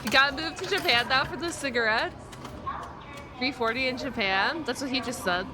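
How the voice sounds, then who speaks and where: A woman's voice: sits high, moderate pace. A woman giving casual, chatty reaction commentary as a streamer, exclaiming and playfully repeating words. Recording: loud steady background noise.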